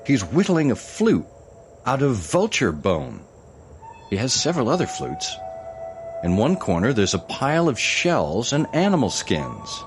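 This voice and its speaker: Male actor as pompous ghostly salesman narrator, hugely swooping pitch, slow grand delivery, old-fashioned diction, musical audio drama, music underneath. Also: wildly swooping pitch. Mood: awed, excited.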